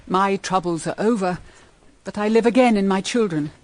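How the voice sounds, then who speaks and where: An actress playing an anxious, brooding middle-aged British mother in a period radio drama, with grave, measured, dramatic phrasing. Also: mid-range voice, darkish tone, lightly acted. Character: anxious, brooding mother of two grown daughters.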